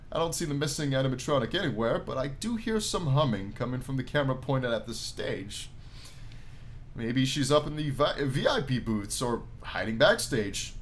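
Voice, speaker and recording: A man giving expressive let's play commentary, reading visual novel text aloud and adding his own drawn-out, enthusiastic asides. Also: medium pitch. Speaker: gaming YouTuber. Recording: noticeable background noise.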